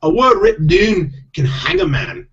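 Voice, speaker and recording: A man voicing a tiny, gruff, stubborn pixie clan leader with a Scottish accent, growling and protesting. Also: high voice, animated, measured pace, fairly bright tone, dull audio, pitched above the performer's own voice, heavily acted.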